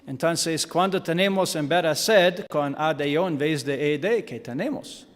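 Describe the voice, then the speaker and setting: A man with an American accent, a native English speaker, preaching in a church in halting Spanish with English fillers and self-deprecating humor. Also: on the high side, long reverb tail. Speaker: guest pastor. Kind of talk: sermon with Bible reading. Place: church sanctuary.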